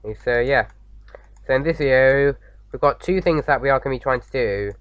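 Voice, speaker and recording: A man with a slight sore throat, slightly croaky, chatting casually as he hosts a game playthrough, rambling with lots of fillers. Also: mid-range voice, fairly quick, dull audio, a little hiss or hum. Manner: rambling, many fillers and restarts. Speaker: gaming video host. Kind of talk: casual let's-play commentary.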